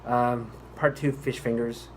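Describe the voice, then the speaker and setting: A man's voice: mid-range voice, level tone, unhurried, a little hiss or hum, lightly acted, poor audio. Man acting a pompous, exasperated voice-over actor. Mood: indignant. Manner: grandiose, indignant, condescending. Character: exasperated, pompous male commercial voice actor at a recording session. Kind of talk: re-enacted commercial recording outtake.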